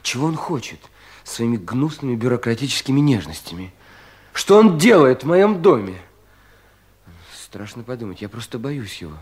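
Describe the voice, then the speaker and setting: An actor playing a troubled, self-doubting young provincial official in a 1970s Russian radio play, in an anxious, theatrical manner. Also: male voice, medium pitch, sing-song, moderate pace, husky. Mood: bitter, fearful. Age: young adult. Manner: brooding, theatrical, self-questioning, with sudden swells and hushed drops.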